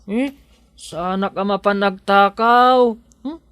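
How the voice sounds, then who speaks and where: A man's voice: high voice, slow pace, a bit bright, clear, resonant tone. A man playing a naive, plucky, poor odd-job worker in a radio drama, artless and protesting. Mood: indignant protesting.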